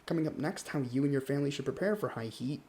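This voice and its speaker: A man's voice: mid-range voice, lively intonation, fast talker, dark tone, natural voice. A man playing an earnest local morning news anchor, reading scripted news. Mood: composed, earnest.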